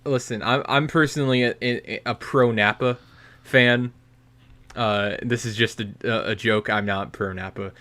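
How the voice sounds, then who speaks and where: A man's voice: on the low side, fairly even intonation, measured pace, bright, forceful tone. A young man co-hosting a podcast, talking casually with constant "like" fillers and jokes. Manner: rambling, many "like" fillers, joking. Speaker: podcast co-host. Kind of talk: casual chat.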